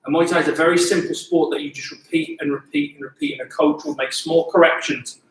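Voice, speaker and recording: A man's voice: fairly high pitch, steady tone, a little fast. A man in his late forties teaching a Muay Thai class online, calling out short repeated cues with self-deprecating asides. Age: 40s. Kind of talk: live online class instruction. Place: gym. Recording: echoey gym from a distance.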